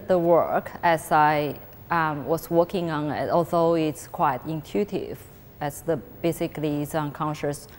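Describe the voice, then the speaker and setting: A woman explaining her artwork in a halting way, searching for words and restarting often. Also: low-pitched, a little mellow. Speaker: artist. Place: gallery space. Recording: long reverb with faint background noise.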